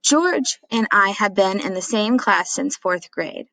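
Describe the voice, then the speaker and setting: A woman's voice: medium pitch, lively intonation, unhurried, fairly bright tone, no echo. Woman reading as a dramatic storytelling dad. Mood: eager, boastful. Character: chatty dad, dramatic, self-mocking storyteller of childhood mishaps. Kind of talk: storybook read-aloud.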